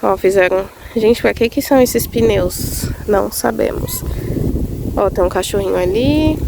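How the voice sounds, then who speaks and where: A woman's voice: mid-range voice, measured pace, vocal fry. A Brazilian woman with a cold, chatting casually as she films a vlog outdoors on rural land, gushing over small things. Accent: Brazilian Portuguese. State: congested with a cold. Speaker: vlogger. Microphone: handheld phone. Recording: windy outdoor phone recording. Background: wind and outdoor noise.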